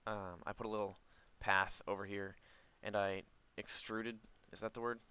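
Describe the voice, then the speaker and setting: A man's voice: lowish voice, flat delivery, normal speed, pushed and bright, smooth. A man giving casual, unscripted gameplay commentary, rambling as he thinks aloud. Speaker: gaming video host.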